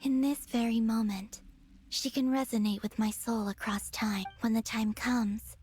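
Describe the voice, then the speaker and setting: A woman voicing a serene, resolute young moon goddess in a video game, speaking softly in a measured, breathy way. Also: a little high, fairly even intonation, faint music behind, natural voice. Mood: calm, certain.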